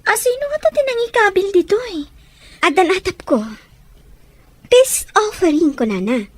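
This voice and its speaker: High-voiced young Filipino woman, Ilocano drama actress. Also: sing-song, moderate pace, no room sound. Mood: teasing, excited. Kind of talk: Ilocano radio-style drama acting.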